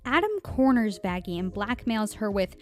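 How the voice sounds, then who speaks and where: A woman's voice: medium pitch, normal speed. A woman reads a scripted recap narration in a playful, wry manner. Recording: music bed under the voice. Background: background music.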